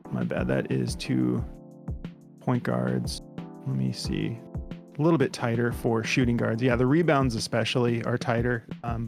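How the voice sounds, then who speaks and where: Gravelly-voiced man talking betting picks. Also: mid-range voice. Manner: relaxed, lots of fillers and restarts. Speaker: sports betting podcast host. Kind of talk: casual sports betting picks talk.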